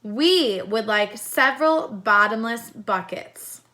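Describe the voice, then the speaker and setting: A woman's voice: a little high, lively intonation, takes it slowly, pushed and bright, exaggerated voice. A woman voicing a cheerful, proud and courteous family man from a storybook, speaking in an emphatic, polite way. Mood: eager, emphatic.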